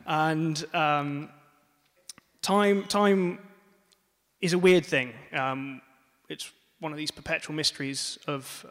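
A man's voice: fairly high pitch, takes it slowly, long pauses, a bit bright. French-accented man telling a story in a hall. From France. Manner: chatty and self-mocking, many ums. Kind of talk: live personal storytelling to an audience. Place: museum event space. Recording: live hall with long reverb.

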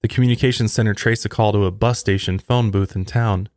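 A man's voice: on the low side, steady tone, fairly quick, a little mellow, no echo. Man narrating true crime, dry close sound. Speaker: true crime podcast host.

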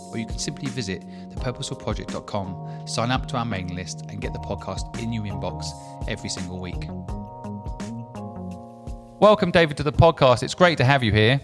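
A man hosting a podcast, reading an upbeat scripted intro and asking interview questions with enthusiastic praise. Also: mid-range voice. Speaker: podcast host.

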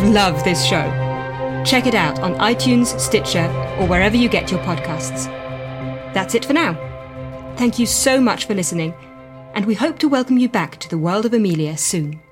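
A woman reading scripted podcast credits as an announcer. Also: mid-range voice. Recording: loud music under the voice. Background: music.

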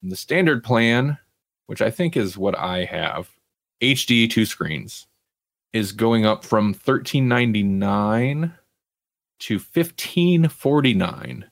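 A man with a fairly low voice chats casually as a podcast host. His delivery is wry and conversational, and the sound is dry, with very little room in it. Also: expressive, slow, gravelly, no room sound. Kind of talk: casual pop culture chat.

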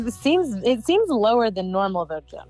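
A woman calls in by phone to co-host a podcast, teasing and bantering in a playful way. Her voice sits at a middle pitch, and faint music plays behind her. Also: very animated, normal speed, darkish tone. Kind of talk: casual chat.

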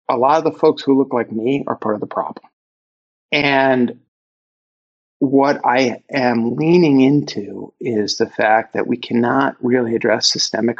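A man from Brooklyn with a Brooklyn accent, talking candidly and reflectively as a guest author in an interview, pausing for emphasis. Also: medium pitch, slightly rough, lo-fi sound. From Brooklyn, New York.

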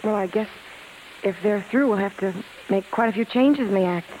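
An American woman playing a bossy, quick-tempered, showy female vaudeville star in a 1950s radio drama, talking in brash, commanding slang. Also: mid-range voice, darkish tone, slight background noise, lightly acted, low-quality recording. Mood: subdued, businesslike. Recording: vintage 1950s radio broadcast with music.

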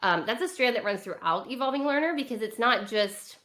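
Bright-voiced Californian woman, earnest podcast guest. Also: a little high, expressive, a little fast. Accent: Californian American. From California, United States. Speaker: educator and author, podcast guest. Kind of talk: conversational interview answers.

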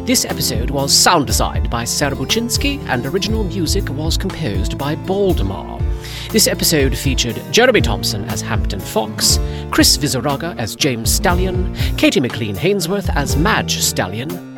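Lady reading podcast credits, lowish voice, very expressive, guitar playing under her. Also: moderate pace. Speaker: credits announcer. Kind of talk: scripted podcast credits narration. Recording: guitar music behind.

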